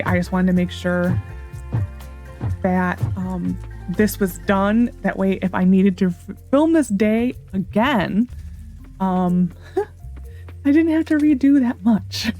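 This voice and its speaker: A young woman narrates her gameplay in a hoarse, worn voice, wandering between thoughts with exasperated sarcasm. Her tone is dark and mellow, sitting at an ordinary pitch. Faint background music runs under her words. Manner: rambling, frustrated and sarcastic about the game. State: hoarse, sore voice. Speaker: gaming YouTuber. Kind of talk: casual gameplay commentary.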